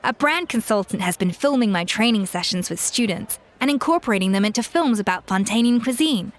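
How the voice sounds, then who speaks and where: A woman plays a professional female chef in a video game. She is composed and exacting, with a medium-pitched voice. Her delivery is polite, precise and matter-of-fact. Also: lively intonation, brisk, lightly acted.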